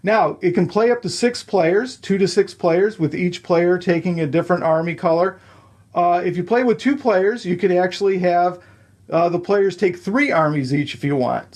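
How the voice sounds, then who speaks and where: A man's voice: sits high, darkish tone. A man explaining the rules of a board game step by step, counting moves aloud and checking in with the listener.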